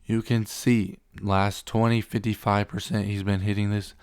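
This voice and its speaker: Low flat-voiced man with a cold. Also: moderate pace, smooth, no room sound, studio quality. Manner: reads stats off screen with filler ums. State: getting over a cold. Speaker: sports betting picks host. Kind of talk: casual screen-share picks rundown.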